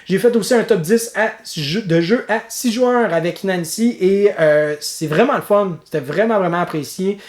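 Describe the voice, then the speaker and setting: A man's voice: sits high, moderate pace, few pauses, reverberant. A man with a Québécois accent giving a casual, chatty monthly vlog update as host of a board game channel, with many fillers and restarts. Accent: Québécois French.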